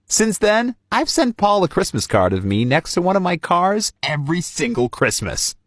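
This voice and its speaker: A man reads a scripted story in the first person. His pitch moves around a lot with emphatic stresses, and the sound is close and dry. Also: big pitch swings, moderate pace, no room sound.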